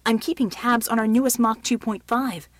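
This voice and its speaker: A woman's voice: on the low side, moderate pace, no echo, lightly acted. A woman voicing a protective, firm military man in an audiobook story. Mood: commanding. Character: steady protective military male helper, quiet but commanding.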